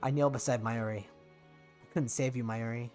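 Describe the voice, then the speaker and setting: A guilt-ridden young man performed by a male reader narrates his visual novel story in a mid-pitched voice. He is somber, deliberate and despairing, with faint music behind him. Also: fairly even intonation, darkish tone, natural voice. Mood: grieving, hollow.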